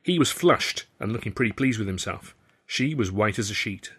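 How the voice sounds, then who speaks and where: A man reading his own novel aloud in the first person, with a wry, lively storytelling manner. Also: fairly low pitch, normal speed, breathy edge. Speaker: author and narrator. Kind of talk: audiobook narration, first person.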